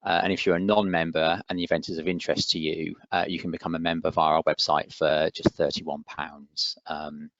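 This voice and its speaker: A man's voice: a bass voice, level tone, a little fast, few pauses, a bit bright, no echo. A man hosting an online webinar, speaking politely and formally with some hesitation as he gives announcements. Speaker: webinar host. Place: online video call. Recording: dull, dry online call audio.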